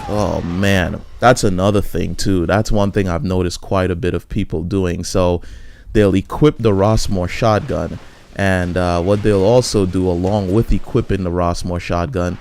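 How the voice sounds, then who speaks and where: Low-voiced man rambling over gameplay. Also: vocal fry, a little mellow, a little hiss or hum. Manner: rambling, frequent restarts and fillers. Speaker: gameplay commentator. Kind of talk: casual gameplay commentary.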